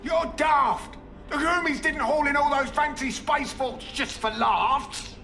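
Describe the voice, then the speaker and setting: An actor playing an excitable ork underling who yells in a shrill voice. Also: male voice, takes it slowly, soft background music, full character voice. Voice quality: shrill, yelling.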